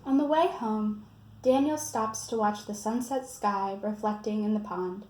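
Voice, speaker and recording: A woman's voice: mid-range voice, a little slow, a little mellow. A woman giving a scripted book talk and reading a picture book aloud. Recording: roomy echo, faint background noise.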